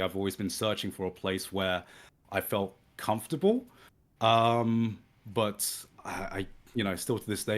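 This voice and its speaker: A young British man from England, talking as a guest in a casual conversation, hesitant and searching for his words with many restarts. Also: low voice.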